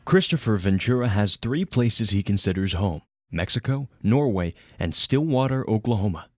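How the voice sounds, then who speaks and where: A young man narrating sports news packages and reading a sportscast in a steady scripted delivery. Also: fairly low pitch, moderate pace. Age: young adult. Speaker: student sports reporter.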